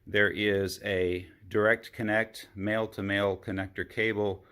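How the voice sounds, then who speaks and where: A man narrating a product demonstration as a reviewer, explaining things in a measured, methodical way. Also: lowish voice, flat delivery, bright, forceful tone, smooth.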